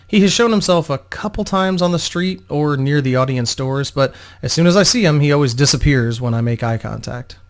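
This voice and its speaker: A man's voice: a little high, brisk, few pauses, natural voice. A man voicing a young female opera singer, polite, grateful and slightly anxious, in a storybook reading. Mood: worried, grateful.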